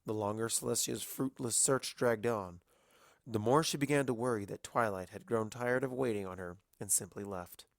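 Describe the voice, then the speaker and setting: A man's voice: fairly low pitch, moderate pace, gravelly, no room sound, clean studio sound. A man narrating a scripted story as a reader of fiction.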